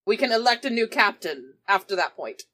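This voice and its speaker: A woman's voice: mid-range voice, big pitch swings, a little fast, pushed and bright, slight background noise. A woman playing a tabletop roleplaying game, casually narrating and speaking for her character.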